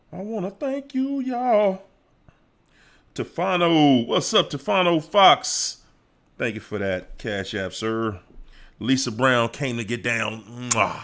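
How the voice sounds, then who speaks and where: A man hosting a relaxed livestream. He talks slowly and lets his lines hang. He hypes up the chat in a playful way, with bursts of whoops and sung bits. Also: a little high. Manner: playful, hypes up chat, sings snippets and laughs. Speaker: livestream host. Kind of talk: casual livestream chat greeting viewers.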